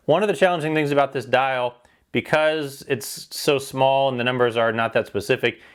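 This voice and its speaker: Guy doing a casual gadget review, plain-spoken, just explaining how stuff works as he handles it. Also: medium pitch. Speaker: product reviewer. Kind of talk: casual hands-on product demonstration.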